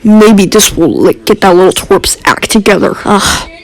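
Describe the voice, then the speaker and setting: A stern, contemptuous dad in a dramatized story, voiced by a man. The voice is high for a man, the manner blunt and griping. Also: normal speed. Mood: scornful. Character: harsh, stubborn, contemptuous father.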